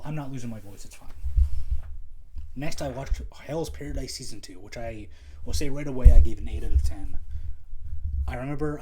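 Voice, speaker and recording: A man's voice: mid-range voice, dark tone, a little hiss or hum, poor audio. A young man casually reviewing anime on his own, rambling, swearing a lot and making fun of himself. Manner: rambling, profane, self-mocking. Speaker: podcast host reviewing anime. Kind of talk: casual solo chat.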